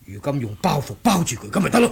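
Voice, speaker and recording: Conspiratorial monk, heavy gravelly creak, swooping pitch, bossy, audio drama. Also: male voice, big pitch swings, fast talker, a little hiss or hum. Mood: urgent, conspiratorial. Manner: bossy, conspiratorial, grunting when playing mute. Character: scheming wandering monk who feigns muteness before others. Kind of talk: Cantonese audio drama acting.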